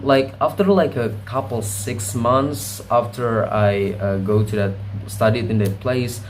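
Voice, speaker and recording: Young man, casual vlog monologue, rambling, self-correcting, mid-pitched voice. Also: a little mellow, slight background noise. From Indonesia. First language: Indonesian. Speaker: vlogger.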